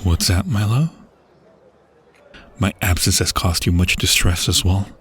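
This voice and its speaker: Guy doing a dying king husband in a fantasy asmr roleplay, husky n really slow, tender, war-weary. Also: low-pitched, lively intonation, a bit bright, heavily acted. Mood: tender, longing. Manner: slow, tender, archaic phrasing.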